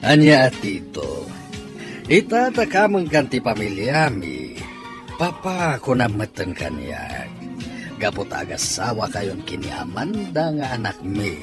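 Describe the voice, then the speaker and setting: Slow, animated older father. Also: medium pitch, music in the background, heavily acted. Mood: hearty. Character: proud, gladdened older father of the bride. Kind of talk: radio drama acting.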